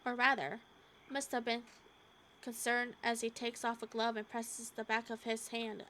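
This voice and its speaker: A woman reading a visual novel aloud as a gaming streamer, halting and expressive, with casual asides. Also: on the high side, slight background noise. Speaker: gaming YouTuber.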